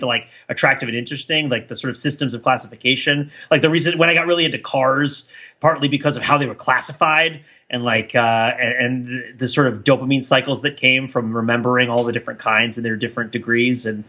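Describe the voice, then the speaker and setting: A man's voice: medium pitch, fairly even intonation, a little fast, sharp tone, muffled recording. A man co-hosting a podcast in casual, discursive chat, rambling and often questioning himself as he goes. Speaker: podcast co-host.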